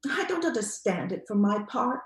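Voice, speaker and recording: A woman's voice: medium pitch, very animated, normal speed, roomy sound, lightly acted. A woman playing an anxious, indignant and bluntly questioning matronly sister in an old-time radio drama.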